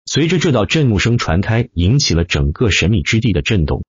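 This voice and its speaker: Male audiobook narrator, dramatic fantasy, emphatic delivery, husky tone, dry but slightly dull recording. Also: on the low side, big pitch swings, a little fast, no room sound. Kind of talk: dramatic scripted narration of a fantasy web novel.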